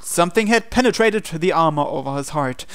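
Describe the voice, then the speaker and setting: A man's voice: a little high, expressive, fairly quick, a bit bright, slight background noise. A man reading a visual novel aloud in a dramatic storytelling narration style.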